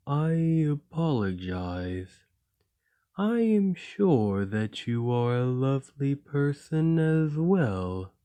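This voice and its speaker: Man performing a gentle imprisoned golem, ASMR roleplay, mellow tone, very expressive pitch, formal and polite, lonely. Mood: polite, wistful. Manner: formal, measured, courteous, no contractions.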